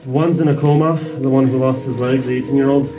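A man's voice: mid-range voice, fairly even intonation, fast talker, low-quality recording. A man with a Canadian accent, a volunteer soldier being interviewed in an underground bunker, matter-of-fact. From Ukraine, raised in Canada. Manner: matter-of-fact, restarts often. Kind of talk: field interview.

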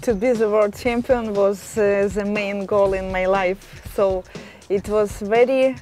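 A woman in her thirties from Estonia, speaking careful, halting as an interview guest outdoors on a terrace. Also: medium pitch, fairly even intonation, a little mellow, soft background music. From Estonia, born in Ukraine. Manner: halting, careful non-native English with many pauses. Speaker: interview guest, fitness champion. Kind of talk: casual interview answers. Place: outdoor terrace, hillside view.

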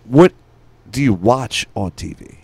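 A man's voice: medium pitch, lively intonation, a little slow, husky, dry recording. A man in casual podcast chat as a co-host, colloquial and questioning, speaking into a close mic in a small studio.

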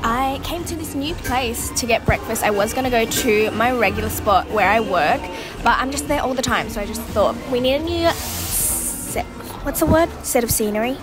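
A woman's voice: on the high side, moderate pace, over music. A young woman in her twenties chatting candidly to a handheld camera in a casual vlog, with asides and self-corrections. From Australia. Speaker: vlogger. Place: home and around the city, indoors and out. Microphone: handheld camera close to the face.

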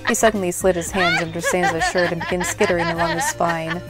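Woman, story narrator, scripted audio drama reading, slightly low voice, clear background music. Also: steady tone, fast, low-quality recording.

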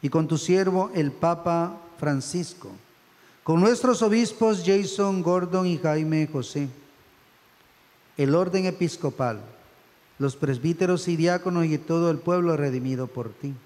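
A middle-aged man speaks as a priest at the altar, reciting prayers solemnly. His voice sits fairly high and carries a long church reverb. The phrasing is measured and reverent. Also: darkish tone. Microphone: stand microphone at the altar.

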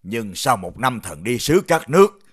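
An actor playing a formal, obsequious royal officer reporting to rulers in an animated fairy tale. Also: male voice, medium pitch, normal speed, slightly rough.

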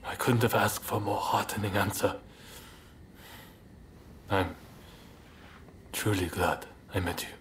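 A male actor plays a thoughtful samurai rebel in a game cutscene. His voice sits fairly low and his phrasing is polite and measured. Clear ambient sound runs behind the words. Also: steady tone, a little hiss or hum, close to an everyday voice. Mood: tender, vulnerable. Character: earnest, soft-hearted samurai rebel leader, devoted and thoughtful. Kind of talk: video game cutscene acting.

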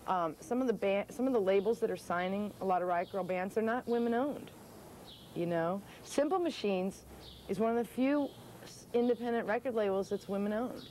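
Blunt, outspoken young woman, mellow tone. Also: mid-range voice, slight background noise. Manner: blunt and outspoken, swears freely. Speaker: musician. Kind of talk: documentary interview. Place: outdoors beside a pale wall.

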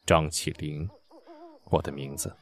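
A man voicing a deep, terse, aloof swordsman. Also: measured pace. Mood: detached. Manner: terse, flat, few words. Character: aloof, amnesiac young male swordsman, curt. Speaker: voice actor. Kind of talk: costume radio drama acting.

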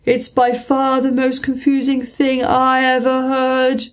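A woman voicing the Mock Turtle, a weepy, melancholy male creature who talks and sings slowly between sobs. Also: high-pitched, monotone. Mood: plaintive. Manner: slow, drawn-out, sobbing song.

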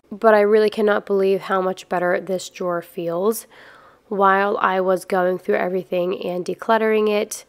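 A woman's voice: fairly low pitch, dry recording. Chatty woman vlogger, close dry sound. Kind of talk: casual scripted-feel vlog voice-over.

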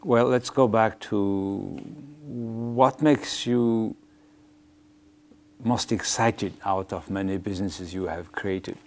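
A middle-aged man with a Mongolian accent hosting an interview in a TV studio. He speaks haltingly, searching for words and filling gaps with "uh". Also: fairly low pitch. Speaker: TV interview host.

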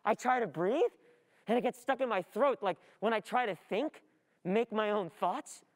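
A woman's voice: mid-range voice, normal speed, close to an everyday voice. A young woman acting an anxious, overwhelmed, easily distracted student in an agitated, rambling theatrical monologue. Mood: panicked, overwhelmed. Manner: agitated, rambling inner monologue, repeating words. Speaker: actor playing a student. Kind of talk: theatrical radio-play monologue. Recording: long hall reverb of a stage.

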